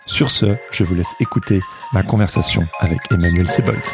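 A man hosting a podcast, reading a scripted introduction and then chatting with his guest in a friendly, measured way. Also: deep voice, music in the background. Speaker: podcast host.

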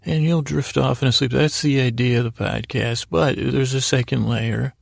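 A middle-aged man with a gravelly, creaky voice hosting a sleep podcast, rambling in a halting, silly bedtime monologue that repeats and trails off mid-thought, close on the microphone. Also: medium pitch, fairly even intonation, no echo. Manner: silly, absurd asides.

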